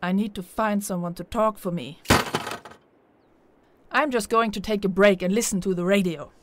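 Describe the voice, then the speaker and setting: A determined podcast host from the forest played by a woman, with a Scandinavian accent and a medium-pitched voice. She talks in a brisk, matter-of-fact way, as in an audio drama. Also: noisy background, lightly acted. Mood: exasperated, resigned.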